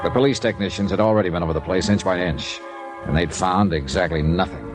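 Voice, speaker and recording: An actor playing a tough, steady insurance investigator who narrates and questions tersely in an old-time radio detective drama. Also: male voice, low voice, fast talker, over music, close to an everyday voice. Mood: somber narration. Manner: terse, hard-boiled, questioning.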